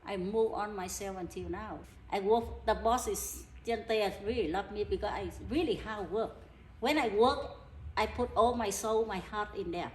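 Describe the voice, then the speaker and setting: A middle-aged woman from Vietnam with a Vietnamese accent, a healer talking earnestly in halting, broken English. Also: mid-range voice. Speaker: energy healer. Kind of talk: casual interview talk. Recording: echoey room with background noise.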